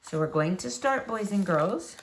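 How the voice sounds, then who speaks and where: A woman reading a children's book aloud as a storyteller, with expressive, dramatic emphasis. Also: medium pitch, normal speed, a little hiss or hum.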